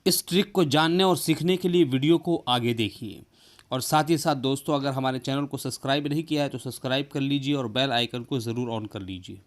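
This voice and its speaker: A man's voice: mid-range voice, a little fast. A man presenting a tech explainer, speaking directly to his viewers as a tutorial host. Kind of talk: explainer video addressed to viewers.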